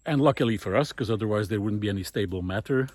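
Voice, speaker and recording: A man giving a casual, unscripted monologue outdoors on a woodland trail, musing and halting as he thinks aloud. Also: fairly low pitch, darkish tone, dry recording. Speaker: host of a recorded monologue series.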